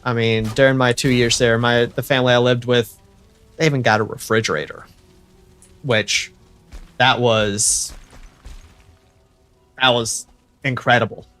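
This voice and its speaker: A man's voice: mid-range voice, flat delivery, a little slow, pauses often, fairly bright tone, clear, resonant tone, soft background music. A young American man chatting casually to viewers while he livestreams a building game, rambling and correcting himself as he goes. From United States. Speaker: streamer.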